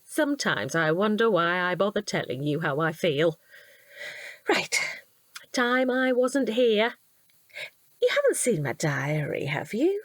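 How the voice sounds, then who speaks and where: Expressive, unhurried British wife. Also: female voice, mid-range voice, no echo. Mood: mildly put out. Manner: chatty, gushing, expressive. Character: chatty, kindly, trusting wife of a police detective. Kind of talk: naturalistic audio drama acting.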